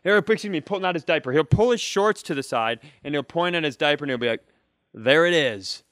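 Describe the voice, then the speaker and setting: A man's voice: fairly high pitch, expressive, a little fast, fairly bright tone, no echo, studio quality. A man hosting a comedy podcast, telling stories in casual banter and acting out little quotes as he goes. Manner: jokey storyteller, acts out short quotes.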